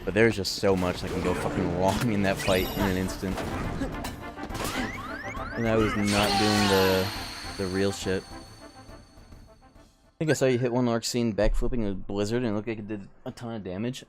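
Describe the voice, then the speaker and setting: A man's voice: lowish voice, fairly even intonation, moderate pace, pauses often. A man giving casual, sweary live commentary on a video game, often muttering to himself. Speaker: game streamer. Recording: loud game music behind voice. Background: loud video game music and effects.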